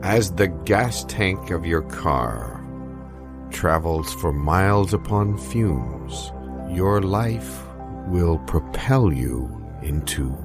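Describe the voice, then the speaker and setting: A man's voice: low-pitched, gravelly, heavily acted. A man playing a solemn prophet in a mock advert, with a grandiose manner. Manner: very slow, grandiose.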